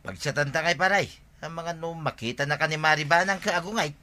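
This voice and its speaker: A man's voice: on the high side, a little fast, pushed and bright. A man acting in a Filipino radio comedy as a chummy, teasing, meddling village friend with an Ilocano accent.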